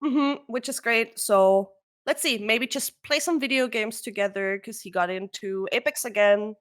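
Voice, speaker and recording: A woman's voice: a little high, normal speed. A woman co-hosting a casual podcast, rambling with lots of ums and restarts. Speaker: podcast co-host.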